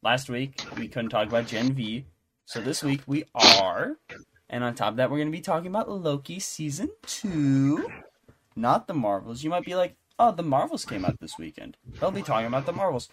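A man's voice: mid-range voice, animated, moderate pace. Male podcast co-host, mid-range voice, casual rambling news chat, jokey, some noise behind. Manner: rambling, jokey, self-interrupting. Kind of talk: casual chatty entertainment news commentary.

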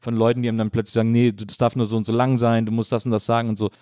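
Male interviewer, rapid informal delivery, enthusiastic and rambling, recorded dry with no room sound. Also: medium pitch, level tone, warm tone, no echo. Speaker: podcast host, interviewer. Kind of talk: casual conversational interview.

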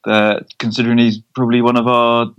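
A man's voice: mid-range voice, level tone, fast, smooth, dull audio. English man talking football, wordy and hesitant. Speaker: football podcast co-host. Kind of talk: casual football chat.

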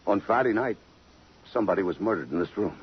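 An actor playing a tough, brooding police detective in 1950s American radio drama, curt and hard-boiled. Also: male voice, fairly low pitch, animated, quick pace, slightly rough. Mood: startled, urgent. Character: tough, brooding police detective lieutenant smitten by a murder victim. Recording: muffled, noisy 1950s radio recording.

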